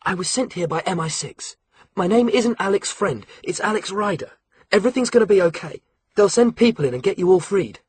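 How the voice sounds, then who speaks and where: A man voicing a determined teenage boy spy, talking in a tense, hurried half-whisper. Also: sits high, lively intonation, breathy edge, voice pushed up. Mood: urgent.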